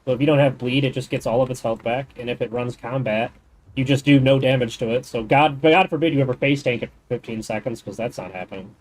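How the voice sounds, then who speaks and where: A man's voice: medium pitch, quick pace, poor audio. A man streaming a game, chatting casually and explaining the game's mechanics in detail. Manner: rambling, thinks aloud. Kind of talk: casual gaming chat.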